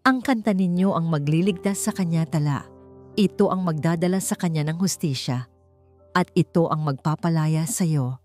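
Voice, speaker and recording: A woman voicing a gentle, remorseful man in an audio love story, speaking softly and solemnly. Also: low voice, normal speed, faint music behind, lightly acted. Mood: firm, reassuring. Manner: soft-spoken, solemn.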